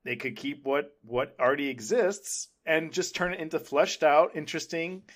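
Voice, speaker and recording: A man's voice: on the high side, moderate pace, a bit bright. A man co-hosting a podcast, chatting casually and enthusiastically about pop culture in a rambling way. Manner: rambling, enthusiastic fan talk. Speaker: podcast co-host.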